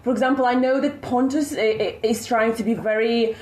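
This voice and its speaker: A woman's voice: fairly high pitch, steady tone, fairly quick, darkish tone, reverberant. A woman from Latvia, a podcast co-host, speaking bluntly and directly in a casual chat. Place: conference venue.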